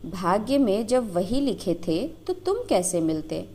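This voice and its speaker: A woman's voice: medium pitch, expressive, measured pace, dark tone. A woman storyteller playing a playful young village wife who banters coyly but stays loyal. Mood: teasing. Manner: coy, bantering.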